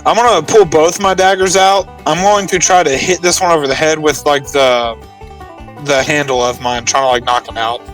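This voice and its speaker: A man's voice: a little high, fairly even intonation, moderate pace, fairly bright tone. A man playing a tabletop roleplaying game in casual chat, recorded at some distance with faint banjo music.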